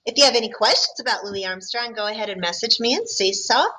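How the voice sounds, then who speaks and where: A woman teacher reads a picture book aloud to young students. She stops to ask them questions and explain the story. Her voice is bright and rather high, with a friendly, patient tone. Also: fast. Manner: friendly, explaining to children between passages.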